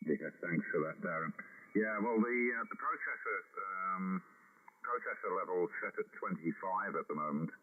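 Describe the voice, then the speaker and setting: A man with a very deep voice on a ham radio contact, talking unhurried with many fillers through a headset mic. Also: slight background noise. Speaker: ham radio operator calling in. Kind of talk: casual radio contact chat. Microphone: headset microphone. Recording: tinny radio audio.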